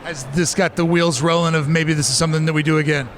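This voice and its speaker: A man hosting a casual interview at a convention, asking quick, upbeat questions. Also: fairly high pitch, flat delivery, a bit bright, a little hiss or hum. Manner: fast, upbeat, chatty questions. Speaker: podcast host interviewing at a convention.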